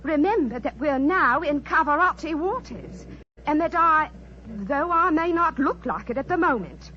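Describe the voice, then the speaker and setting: A woman's voice: high voice, animated, moderate pace. An actress playing a haughty, fiery female ruler in 1940s radio drama, with a commanding manner. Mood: proud, defiant. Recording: noisy, muffled 1940s radio recording.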